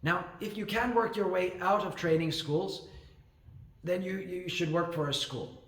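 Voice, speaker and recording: A man's voice: high voice, a little slow, a bit bright. Echoey man giving rambling advice. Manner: rambling, with frequent fillers and restarts. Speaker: ESL teacher and channel host. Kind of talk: casual advice talk to camera.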